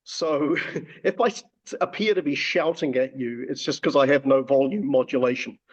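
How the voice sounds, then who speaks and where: A man's voice: medium pitch, moderate pace. A man interviewing a guest in a casual video call chat, with a wry, joking, conversational manner. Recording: dull lo-fi video call audio.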